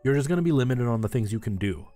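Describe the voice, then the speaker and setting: A man's voice: medium pitch, lively intonation, rapid-fire, mellow. A man chatting casually and informally on a live game stream, rambling in asides to his viewers and giving tips.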